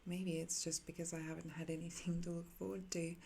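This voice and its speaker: An ancient villainess, acted by a woman for an intimate audio roleplay, with a lowish, mellow voice that crackles with fry. She is haughty and condescending, yet softly flirtatious and infatuated, hesitating as she lets tenderness slip through. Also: little pitch movement, fairly quick. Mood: wistful, fond. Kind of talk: intimate ASMR roleplay acting.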